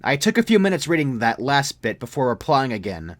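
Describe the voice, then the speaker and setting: A man's voice: medium pitch, very animated, fairly quick, no room sound. A young man reading a scripted first-person story as a creepypasta narrator. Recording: music behind the voice. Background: music.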